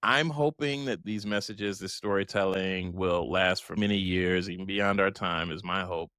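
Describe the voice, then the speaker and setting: A man's voice: deep voice, moderate pace, sharp tone, smooth, dry recording, studio quality. A man hosting an interview, speaking reflectively and at length, with frequent restarts. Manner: reflective, rambling, many restarts. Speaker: host. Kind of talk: conversational interview.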